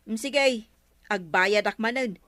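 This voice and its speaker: A woman with an Ilocano accent playing a brisk village woman who questions everyone, in an Ilocano radio comedy drama. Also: medium pitch, a bit bright.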